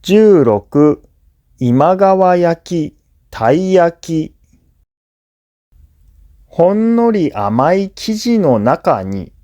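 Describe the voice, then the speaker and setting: Slow, mellow male narrator. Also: a little high, very animated, lo-fi sound. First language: Japanese. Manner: measured, clearly phrased explanatory delivery. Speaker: language teacher reading listening practice. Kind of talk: scripted educational narration.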